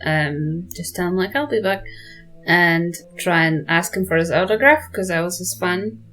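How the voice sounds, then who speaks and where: A woman's voice: on the low side, moderate pace, a bit bright, soft background music. A woman chatting casually as a player in a tabletop roleplaying game.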